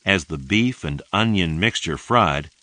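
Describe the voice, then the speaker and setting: A man reading a scripted English lesson in a deliberate, clearly enunciated way. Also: low voice, animated, pushed and bright, dry recording. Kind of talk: scripted read-along narration.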